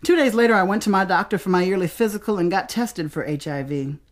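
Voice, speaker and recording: An American woman performing dramatic spoken-word storytelling in a theatrical way, with deliberate pauses and emphasis. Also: mid-range voice.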